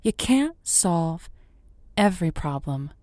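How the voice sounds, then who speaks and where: Radio romance host played by a woman, lower-pitched, effusive and encouraging, close dry studio sound. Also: big pitch swings, takes it slowly, dark tone, no echo. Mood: earnest, upbeat. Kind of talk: semi-improvised audio drama, radio call-in chat.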